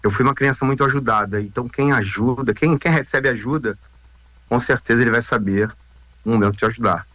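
A man in his fifties with a Rio Brazilian accent gives an informal interview. His voice is bright and forceful at a medium pitch, recorded dry. He speaks haltingly, often repeating himself and checking in with tag questions. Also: no echo, highs cut off. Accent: Brazilian, Rio de Janeiro. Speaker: interview guest, volunteer rescue group founder.

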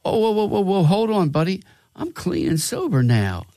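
A man co-hosting a recovery radio talk show, chatting casually and giving shout-outs to listeners. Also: sits high, big pitch swings, moderate pace, no room sound, clean studio sound.

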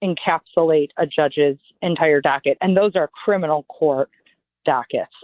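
A woman in her forties, a judicial candidate, answering interview questions in an earnest, measured, lawyerly way. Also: sits low, dry recording. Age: 40s. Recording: phone-like line, dry.

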